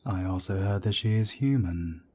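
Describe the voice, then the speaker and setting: A man playing a husky, soft-spoken werewolf elder. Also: low voice, normal speed. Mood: warm, curious. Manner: soft-spoken, gently chiding. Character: calm older male werewolf elder, fatherly. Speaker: council elder.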